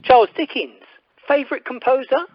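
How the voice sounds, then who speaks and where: A woman's voice: high voice, moderate pace, dry recording. A woman playing a charming, forward male suitor in an amateur radio play recorded by telephone. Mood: flirtatious. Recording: muffled telephone conference line.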